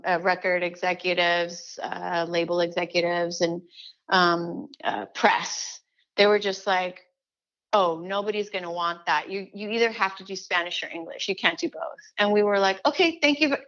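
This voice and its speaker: A middle-aged woman from Chile talking as an interview guest, animated, with many restarts and fillers. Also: medium pitch, muffled recording. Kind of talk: casual interview chat.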